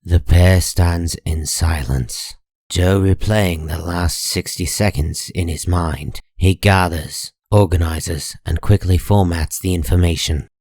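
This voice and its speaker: A man narrating a comic dub in a measured, solemn and dramatic scripted style. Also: deep voice, a little mellow, no room sound.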